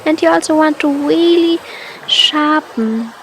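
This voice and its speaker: A woman leading a guided meditation in a soft, gentle, soothing manner, leaving long pauses between phrases. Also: high voice, a little hiss or hum, low-quality recording.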